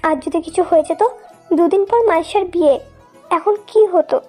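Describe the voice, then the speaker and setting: A woman plays a fretful, scolding mother in a romantic audio drama. Her voice is very high and bossy, over a music bed. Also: normal speed, a little mellow. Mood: worried scolding. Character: scolding, worried middle-aged mother of two daughters. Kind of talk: dramatised love story narration. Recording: music behind the voice.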